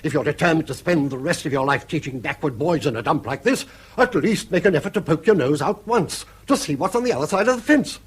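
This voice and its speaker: A man's voice: on the high side, animated, quick pace, breathy edge. An actor playing a bankrupt, argumentative old grandfather in a British radio drama, blustering and sardonic as he needles his family. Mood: needling. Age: older.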